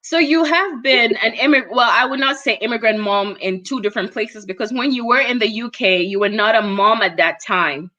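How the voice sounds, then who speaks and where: A woman's voice: on the high side, normal speed, hardly any pauses, pushed and bright, lo-fi sound. A woman hosting a casual live interview, talkative and informal, addressing her guest and viewers directly. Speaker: host.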